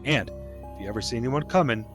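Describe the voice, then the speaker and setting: An actor playing a protective, guilt-ridden deputy father in an audio play, speaking tenderly and haltingly with a stern edge. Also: medium pitch, music in the background, lightly acted. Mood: protective, instructing. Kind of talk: dramatic audio theatre acting.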